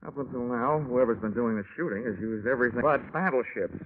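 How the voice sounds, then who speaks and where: A man's voice: medium pitch, quick pace, a little hiss or hum, lightly acted. An American actor playing a wry, slangy, hard-boiled male insurance investigator in an old-time radio detective drama. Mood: wry narration. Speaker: lead actor and narrator. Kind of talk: 1940s-50s radio detective acting, hard-boiled narration. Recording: old radio recording, narrow tinny band, music.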